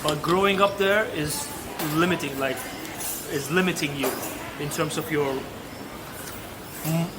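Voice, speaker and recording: A man in his thirties with a Turkish accent, telling his story as a podcast guest in candid, halting English full of restarts. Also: fairly high pitch. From Turkey. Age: 30s. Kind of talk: casual interview chat. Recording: noisy ambient background.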